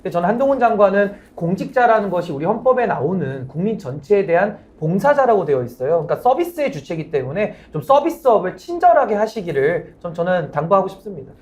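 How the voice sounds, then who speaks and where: High, animated male political commentator. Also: fast, few pauses. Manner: forceful, critical argument. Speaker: lawyer and panelist.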